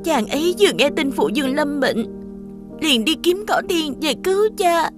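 A woman playing a gentle, devoted young princess in a cartoon fairy tale, tender, emotional and plaintive. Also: high-pitched, expressive, moderate pace, over music. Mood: anxious, forgiving.

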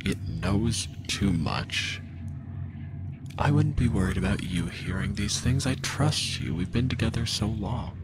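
A man's voice: lowish voice, animated, unhurried, heavy background noise. A man playing a menacing, possessive spirit voice that speaks inside someone's head in a commanding, threatening manner. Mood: controlling, confiding.

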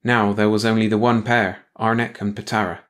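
A man's voice: fairly low pitch, flat delivery, a little fast. A man reading a scripted science fiction story as an audiobook narrator, in bedtime read-along style.

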